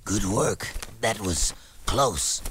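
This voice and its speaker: An actor playing a stern, commanding desert warlord in a video game, with an authoritative, measured manner. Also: male voice, on the low side, lively intonation, fairly bright tone, a little hiss or hum, heavily acted. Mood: stern approval. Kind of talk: animated video game acting. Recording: music behind.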